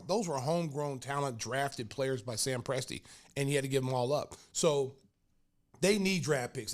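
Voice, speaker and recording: An older man from Oklahoma, a podcast host and former basketball player, telling sports stories in casual talk full of fillers. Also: mid-range voice. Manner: animated, laughing storyteller with many fillers.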